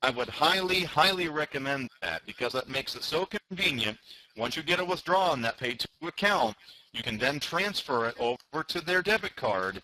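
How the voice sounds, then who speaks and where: A man's voice: on the high side, lively intonation, normal speed, pushed and bright, poor audio. A man co-hosting a company conference call, giving members an emphatic promotional explanation and repeating key words for stress. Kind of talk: promotional informational talk to members.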